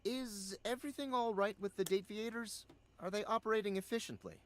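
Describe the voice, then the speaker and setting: A fretful tech expert from a sci-fi video game. His voice sits high for a man and moves very quickly, with formal, explanatory phrasing and an urgent edge. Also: a bit bright, a little hiss or hum. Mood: urgent, worried. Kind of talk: scripted video game dialogue.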